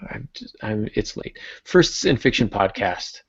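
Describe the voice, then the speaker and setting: A man's voice: fairly low pitch, very animated, slow, creaky, husky, no room sound, highs cut off. A man hosting a podcast in casual, informal chat, often thinking aloud with a self-deprecating touch. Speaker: podcast host.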